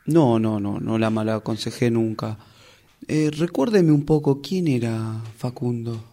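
A man's voice: mid-range voice, measured pace, warm tone, lightly acted. A man playing a distracted, evasive psychoanalyst in an amateur radio play, with a Rioplatense Argentine accent and a hesitant, placating, formal manner. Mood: evasive, reassuring. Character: distracted, evasive male psychoanalyst covering for himself. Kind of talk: amateur radio theatre acting.

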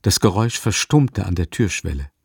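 A composed storyteller, narrating a literary radio play. The voice is low and recorded close and dry. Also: male voice, animated, moderate pace, vocal fry, darkish tone, slightly rough, no echo, full character voice.

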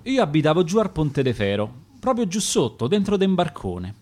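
A poor dead man who once lived on a river boat remembers the evenings, voiced by a man in a Roman accent. He is wistful and plain-spoken. His pitch moves in lively swings. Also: moderate pace.

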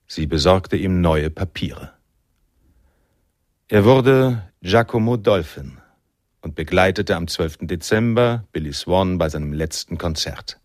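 A man's voice: low-pitched, no echo, studio quality. An actor playing a reflective, world-weary male narrator in a noir radio drama, telling the story in literary prose.

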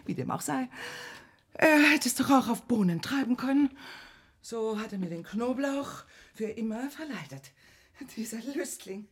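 A very husky, jealous gossip. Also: female voice, medium pitch, heavily acted. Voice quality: husky, breathy. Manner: confiding, indignant, dropping into whispers. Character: jealous, scandalised woman gossiping about an unfaithful man. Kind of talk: German radio play monologue.

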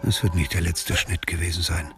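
Wry, low-voiced male narrator. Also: flat delivery, fairly quick, music in the background. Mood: grave. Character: wry male narrator commenting on the heroine's plight. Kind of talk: scripted radio drama narration.

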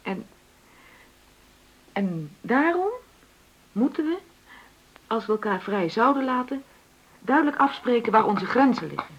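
An actress playing a jealous, direct woman in her forties in a naturalistic radio drama, probing and emotional, with a husky voice. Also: on the high side, lively intonation, a little slow, pauses often, highs cut off. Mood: jealous, assertive. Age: 40s. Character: jealous, frank forty-year-old woman negotiating with her partner.

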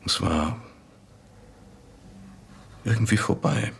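An actor playing a baffled, hesitant man being interviewed in a radio drama, husky and halting as he explains himself. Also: on the low side, a little hiss or hum, exaggerated voice. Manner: halting, uncertain. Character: hesitant, baffled man confessing an avoided encounter.

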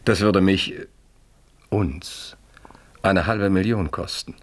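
A man's voice: deep voice, takes it slowly. An actor playing a vain, charming young marquis in a radio play, gallant and courtly in his speech. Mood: suave, evasive. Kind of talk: 1970s German radio play acting.

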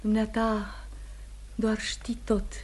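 An actress playing a wounded, anxious young wife in a radio play, breathy and often hushed, earnest and halting. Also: female voice, mid-range voice, completely flat delivery, slight background noise, natural voice. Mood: pleading. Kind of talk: Romanian radio theatre acting.

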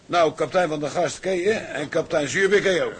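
Higher-voiced ship's officer played by a man. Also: fast talker, natural voice. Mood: sociable. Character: sociable, resigned merchant navy officer in a tavern. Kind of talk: period drama dialogue.

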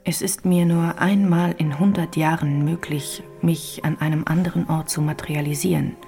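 An actress playing a melancholy centuries-old castle ghost in a radio drama, speaking in formal, archaic phrases with a breathy, often hushed voice. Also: female voice, low voice, steady tone, moderate pace, soft background music. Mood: grave. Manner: formal, archaic, solemn diction.

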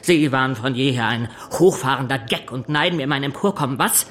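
An actor playing a scheming, spiteful swindler in a German radio play, sneering and insolent in manner. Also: male voice, medium pitch, a little fast, fairly bright tone, lightly acted. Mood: sneering, defiant. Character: scheming, spiteful male swindler clerk, cornered and contemptuous.

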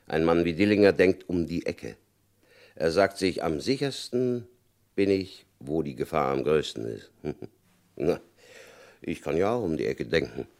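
A hard-nosed FBI chief from a vintage radio play, his voice extremely deep and gravelly. He speaks curtly and dryly, sure of himself, in the measured style of 1960s radio acting. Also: animated, darkish tone, exaggerated voice. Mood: confident. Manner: curt, confident, dry chuckles. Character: stern shrewd male FBI chief, middle-aged.

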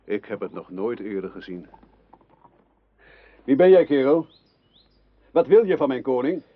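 An actor playing a loyal, deferential knight in a radio drama, with a husky voice. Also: male voice, medium pitch, big pitch swings, a little slow, no room sound. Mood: wary, protective. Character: loyal knight, deferential companion of his king. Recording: dull, dry radio play recording.

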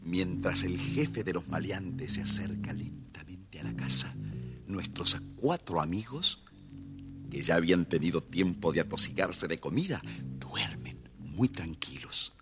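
A man tells a children's tale in a lowish voice with very lively intonation. He is playful and speaks straight to young listeners, with soft music behind. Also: a little slow, vocal fry. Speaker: storyteller narrator. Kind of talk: animated children's story narration.

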